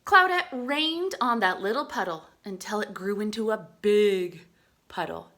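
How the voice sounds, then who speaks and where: A woman reading a picture book aloud to young children in an expressive way, adding chatty explanations and questions for her listeners. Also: medium pitch, unhurried. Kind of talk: animated read-aloud with chatty asides and questions.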